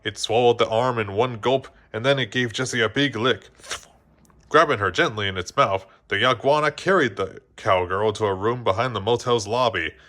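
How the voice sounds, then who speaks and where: A man's voice: lowish voice, moderate pace, fairly bright tone. A man reading a children's story aloud as scripted narration, now and then stumbling over a word. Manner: occasionally stumbles over words and rereads. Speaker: storybook reader.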